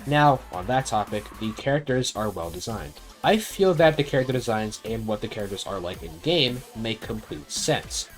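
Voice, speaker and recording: Animated man giving blunt game commentary. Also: lowish voice, moderate pace, hardly any pauses, faint music behind. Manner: blunt, casual, swearing. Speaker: video game review host. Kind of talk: opinionated review commentary.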